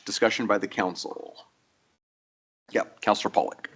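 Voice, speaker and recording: A man presiding over a council meeting, brisk and procedural as he moves through the agenda in a council chamber. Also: lowish voice, steady tone, fairly bright tone, slightly rough.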